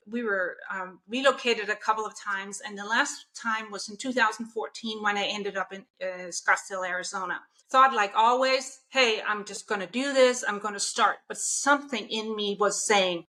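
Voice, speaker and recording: Bright-voiced Danish woman telling her story. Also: medium pitch. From Denmark. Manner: earnest, enthusiastic storytelling with self-quotes. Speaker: guest, mindset coach. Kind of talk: podcast interview.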